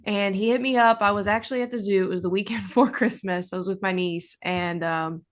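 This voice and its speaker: A woman guest on a podcast, telling a story casually and acting out quoted dialogue with big jumps in her voice. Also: fairly quick. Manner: animated, acts out quoted dialogue with pitch jumps. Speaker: guest, actress and haunt performer.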